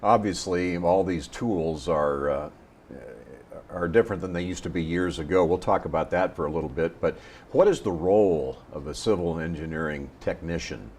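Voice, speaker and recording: A man's voice: low-pitched, normal speed, darkish tone, slight background noise. A man hosting a television interview program, with a polished announcer's delivery as he introduces and questions a guest. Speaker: host.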